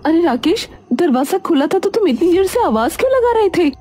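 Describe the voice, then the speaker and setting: Very high-pitched homely mother voiced by a woman. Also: sing-song, brisk, mellow. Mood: puzzled. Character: homely young mother and sister-in-law.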